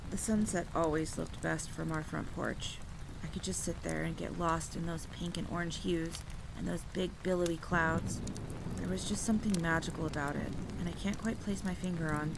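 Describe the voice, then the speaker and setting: A woman's voice: fairly low pitch, fairly even intonation, normal speed. A woman performing a scripted first-person campfire tale in a dramatic, suspenseful storytelling manner. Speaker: audio roleplay performer and storyteller. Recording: clear background noise of rain and thunder. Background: rain, rolling thunder and outdoor ambience.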